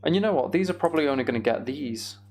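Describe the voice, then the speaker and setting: A man's voice: fairly high pitch, animated, very fast, darkish tone, a little hiss or hum. A man streaming a video game, chatting casually and thinking aloud as he works things out. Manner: muttering to himself while working things out. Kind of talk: casual live gameplay commentary, thinking aloud.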